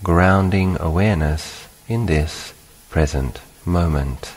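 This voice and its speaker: Very deep, slow-speaking male meditation guide. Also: breathy edge. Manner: calm, unhurried, instructional with long pauses. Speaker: meditation teacher, monk. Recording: long hall-like reverberation.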